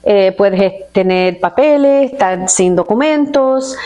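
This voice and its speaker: A middle-aged woman from California, a personal injury lawyer, calmly explaining legal points in an interview and slipping in English terms. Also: mid-range voice, takes it slowly. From California, United States. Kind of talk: interview answers.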